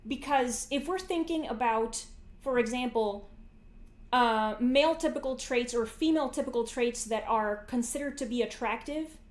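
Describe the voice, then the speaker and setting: High-voiced woman in an echoey room. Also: moderate pace. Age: 40s. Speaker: podcast host. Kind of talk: casual philosophical conversation. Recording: roomy, echoey sound.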